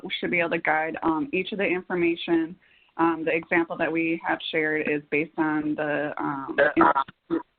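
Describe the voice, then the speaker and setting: A woman presenting slides on a conference call, speaking in halting, piecemeal phrases. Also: lowish voice. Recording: dull, lo-fi conference call audio.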